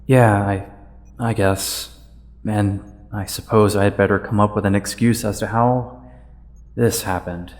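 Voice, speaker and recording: Man as a hesitant, slow-talking young hero. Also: on the low side, fairly even intonation, close to an everyday voice. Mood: awkward. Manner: hesitant, understated delivery. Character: diffident, awkward, modest young male superhero. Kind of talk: audio drama acting.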